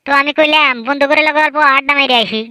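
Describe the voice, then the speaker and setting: A woman's voice: high-pitched, fast, sharp tone, no room sound. A woman voicing a sly, boastful, lazy teenage village son in a comedy cartoon, chatty and colloquial.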